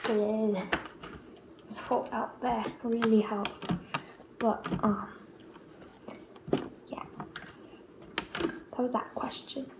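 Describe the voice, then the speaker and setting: A woman's voice: fairly high pitch, level tone, extremely slow, pauses often. A teenage girl from Australia chatting casually to camera as a vlogger, hesitant and rambling, often repeating herself. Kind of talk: casual question-and-answer chat to camera. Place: small bare-walled room at home. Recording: roomy, loud background noise, clicks.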